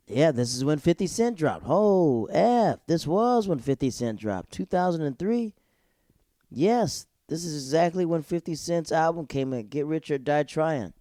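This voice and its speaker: A man's voice: on the high side, dark tone, dry recording, studio quality. A young man hosting his own podcast alone, reviewing a TV episode in a rambling, slangy, digressive way. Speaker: solo podcast host.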